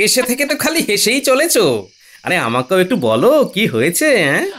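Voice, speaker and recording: A man's voice: high-pitched, huge pitch swings, fairly quick, fairly bright tone, exaggerated voice. A man voicing a clever, cheeky male court jester in a folktale cartoon, witty and teasing. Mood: grumbling.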